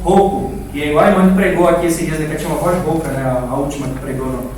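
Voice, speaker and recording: A man's voice: a little high, fairly even intonation, rapid-fire. A Brazilian man giving an informal lecture to a church preaching class, conversational and didactic, repeating words and putting questions to his listeners. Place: echoing indoor room, live class. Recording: very echoey room, distant mic, faint noise.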